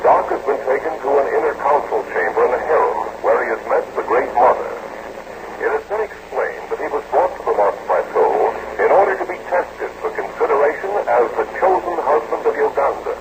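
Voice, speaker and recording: Dramatic old radio serial narrator, solemn, real gravelly, swings up and down. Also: male voice, medium pitch, big pitch swings, brisk. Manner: dramatic, declamatory. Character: solemn male storyteller of an adventure serial. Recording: very noisy old radio recording.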